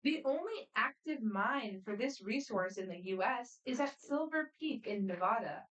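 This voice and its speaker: A woman moderating a student quiz competition, reading questions aloud in a brisk, friendly, matter-of-fact way. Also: a little high, animated, a bit bright. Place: competition room. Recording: voice picked up from a distance.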